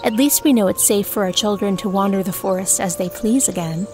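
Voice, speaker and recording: A woman's voice: medium pitch, fairly quick, dark tone, soft background music, lightly acted. A woman playing a caring, practical elf mother, earnestly explaining, in a fantasy audio drama.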